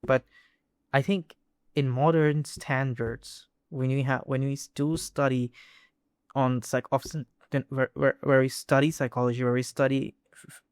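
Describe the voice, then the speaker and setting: A man's voice: medium pitch, level tone, a little mellow, clean tone, no room sound, clean studio sound. A young man from India with an Indian English accent, talking casually to camera, hesitant with frequent restarts and fillers. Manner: halting, many restarts and fillers. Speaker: vlogger. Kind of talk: casual personal talk to camera.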